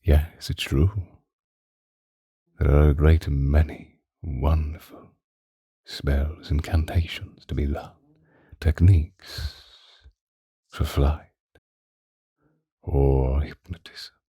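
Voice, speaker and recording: Man as ancient male vampire, ASMR roleplay, rock-bottom pitch, husky breathy murmur, possessive, theatrical, languid, close dry mic. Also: level tone, warm tone, dry recording, exaggerated voice. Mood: alluring, dreamy. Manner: languid, archaic, theatrical, with lingering pauses. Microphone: close microphone, dry.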